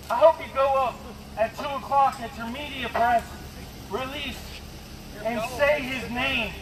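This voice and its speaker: High-pitched man protesting through an echoing bullhorn. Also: a bit bright. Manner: confrontational, repeatedly addressing an official as sir. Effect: bullhorn amplification. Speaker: protester, activist. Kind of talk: impassioned public protest speech. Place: outdoors on a street outside a government mansion. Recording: echoing bullhorn, noisy outdoor street recording.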